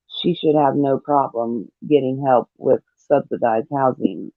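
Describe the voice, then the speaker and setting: Through a thin, phone-like line comes a man with a creaky voice. He talks slowly and deliberately, commenting casually as a panel host. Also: medium pitch, no room sound. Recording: tinny phone-like band.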